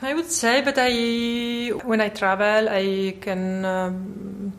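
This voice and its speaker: A woman in her forties from Poland, with a Polish accent, answering interview questions in a hesitant, halting way and searching for English words. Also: mid-range voice, fairly even intonation, a little hiss or hum. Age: 40s. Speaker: artist guest.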